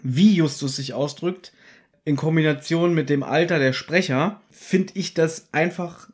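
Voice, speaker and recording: A man's voice: on the high side, a little slow, breathy edge. A man co-hosting a podcast, chatting casually and explaining points with a teasing tone as he reviews an old radio play episode. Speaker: podcast co-host.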